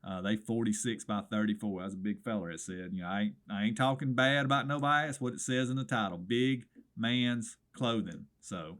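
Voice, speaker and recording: A middle-aged man with a Southern American accent, hoarse, hosting a comedic radio swap shop with folksy wisecracks. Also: fairly low pitch, fairly quick, hardly any pauses, fairly bright tone. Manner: folksy and wisecracking, keeps saying "brother".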